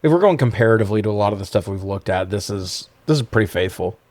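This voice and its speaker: A man's voice: lowish voice, animated, fast, a little mellow, no room sound. A man co-hosting a podcast, chatting casually about films in a review discussion. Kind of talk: casual film review chat.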